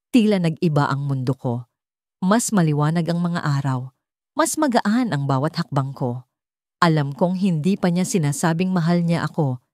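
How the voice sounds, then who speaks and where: A woman narrates a first-person love story in a low, soft-edged voice. The tone is dark and mellow, and the recording is close and dry, as scripted storytelling. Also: no room sound.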